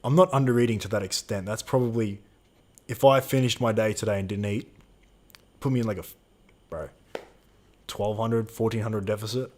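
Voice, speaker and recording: A man's voice: on the low side, steady tone, moderate pace, pauses often, darkish tone. A man in his twenties co-hosting a live Q&A stream, chatting casually and reading out viewer questions. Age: 20s. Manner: casual slangy, swears, reads out chat questions.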